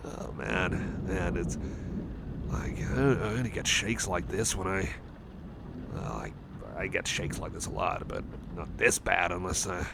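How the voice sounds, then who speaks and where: Shy cyborg buddy for ASMR roleplay, played by a man: lowish gravelly male voice, hesitant, nervous, apologetic, sweetly affectionate. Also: animated, a little slow, noisy background, heavily acted. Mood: nervous, shaky. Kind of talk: ASMR roleplay, intimate one-sided chat.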